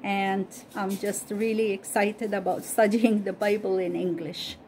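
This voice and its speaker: A woman whose first language is Arabic, slowly and carefully reading a Bible story aloud and repeating study questions as host. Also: mid-range voice, little pitch movement, a little mellow, slight background noise.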